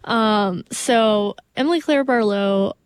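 Slow-paced young Brazilian woman hosting radio. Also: mid-range voice, clean tone. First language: Portuguese. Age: young adult. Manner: enthusiastic, rambling, filler-heavy with frequent "like". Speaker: radio music show host. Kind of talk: casual unscripted music show chat.

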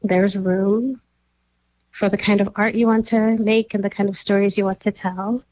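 A woman from Nigeria, a curator and writer, answering interview questions thoughtfully with many pauses and fillers. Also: mid-range voice, little pitch movement, a little mellow, muffled recording.

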